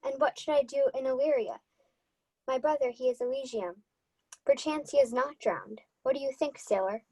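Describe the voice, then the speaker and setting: A woman's voice: sits high, sing-song, normal speed, gravelly, mellow, exaggerated voice, rough recording. A student actress playing a shipwrecked young noblewoman, grieving yet resolute, in a Shakespeare radio play. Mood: lost, anxious.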